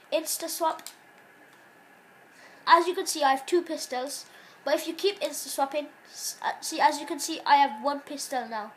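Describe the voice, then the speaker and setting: A woman hosting a gaming channel, giving casual rambling commentary on gameplay while sick, sounding nasal and stopping to cough, recorded in a dark room on a phone aimed at a TV. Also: high-pitched, slight background noise.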